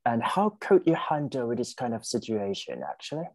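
Male teacher, brisk prompts, encouraging, dry close sound. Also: medium pitch, sing-song, darkish tone, no echo. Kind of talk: classroom interview practice. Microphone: video call.

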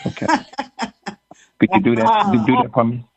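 A man's voice: mid-range voice, big pitch swings, a little fast, breathy edge, no room sound, dull audio. A man from Jamaica co-hosting a faith podcast, joking and teasing in casual chat and often repeating his words. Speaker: podcast co-host.

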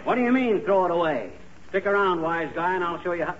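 Casting: wisecracking, boastful husband, fairly high voice, vintage radio comedy, muffled recording. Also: male voice, fast, a bit bright, a little hiss or hum. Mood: defiant. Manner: brash, folksy, wisecracking. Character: stubborn, bumbling, boastful husband tinkering at home. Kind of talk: 1940s radio comedy acting.

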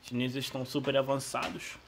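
A Brazilian man who is losing his voice, giving casual, slangy, excitable gameplay commentary. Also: medium pitch, brisk, creaky, slight background noise. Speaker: gaming YouTuber.

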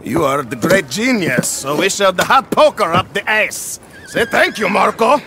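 A man's voice: sits high, expressive, moderate pace, noisy background. A male actor playing a bitter, boastful inventor in a video game, ranting grandly in broken English with an Eastern European accent. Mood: bitter, sarcastic. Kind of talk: video game character acting.

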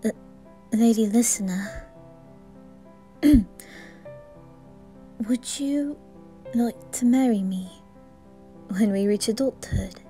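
A woman voicing a wounded, lovestruck teenage boy in an ASMR roleplay, soft and breathy with a hesitant, tender manner. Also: fairly high pitch, slow pace, pauses often, faint music behind. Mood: shy, hopeful. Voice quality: soft, breathy, often whispering.

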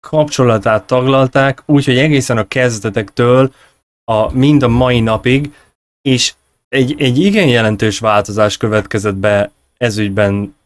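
A man in his thirties hosting a conversational discussion, halting as he searches for words and corrects himself. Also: mid-range voice, dry recording. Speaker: host, interviewer. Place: small indoor room at home. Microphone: close desk microphone on a video call.

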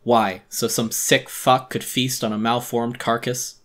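A man gives a dramatic reading of a first-person horror story. His medium-pitched voice is bright and tense, and his delivery is theatrical. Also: level tone, a little slow. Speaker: narrator.

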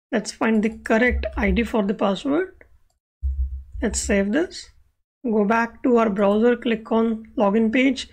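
High-voiced woman giving a coding tutorial. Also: darkish tone, low-quality recording. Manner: instructional, explanatory. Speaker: web accessibility instructor. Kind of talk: step-by-step screencast coding tutorial.

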